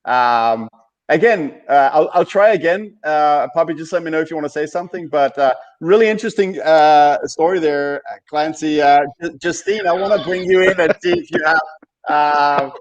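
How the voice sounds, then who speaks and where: A man hosting a casual panel over a video call, with a halting, hesitant delivery. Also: a little high, muffled recording. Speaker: host.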